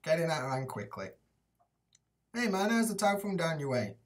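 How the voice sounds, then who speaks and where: A man's voice: on the high side, very animated, moderate pace, distant mic, a little hiss or hum. A young Yorkshire man chatting loosely to his viewers, dropping swear words and "mate" as he goes. His voice sits a little back from the webcam mic in a small indoor room. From England, Rotherham area. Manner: casual, sweary, laid-back banter with lots of "like" and "mate". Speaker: YouTuber living in Japan. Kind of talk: live stream Q&A reading chat.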